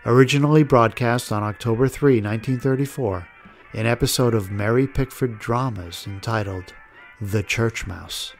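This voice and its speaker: A man's voice: medium pitch, normal speed, soft background music. A man hosting a podcast with scripted documentary narration. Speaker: podcast host.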